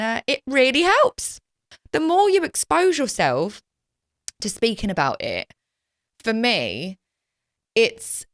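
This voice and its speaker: A young woman hosting a podcast, talking in a chatty, animated, self-deprecating way. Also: mid-range voice, no room sound, studio quality. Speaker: podcast host. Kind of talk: casual chat.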